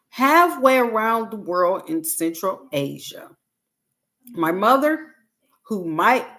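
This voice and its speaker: A woman reading a self-help book aloud on a livestream, in deliberate, halting phrases with pauses. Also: medium pitch, a bit bright.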